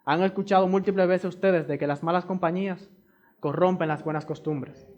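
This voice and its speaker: A man's voice: sits high, steady tone, moderate pace, darkish tone, lo-fi sound. A man from the Republic, preaching a sermon and pressing his points by repeating them straight to the congregation. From Dominican Republic. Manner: emphatic, repeats key phrases; addresses the congregation directly.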